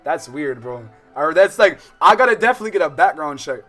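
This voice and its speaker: American male let's-play streamer, lower-pitched than some but ordinary range, slangy, profane, self-interrupting, game music underneath. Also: sing-song, brisk, pushed and bright. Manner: slangy, profane, digressive, interrupting himself while reading. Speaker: livestream host playing a visual novel. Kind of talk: casual let's-play commentary with read-aloud game text. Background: game soundtrack music.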